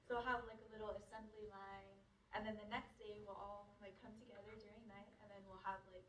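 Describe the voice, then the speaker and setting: A young woman in the audience speaking softly about a family tradition, with many fillers. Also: medium pitch, flat delivery, fast, slight background noise. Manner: soft, fillers like "like". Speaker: audience member. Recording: echoey room from far off.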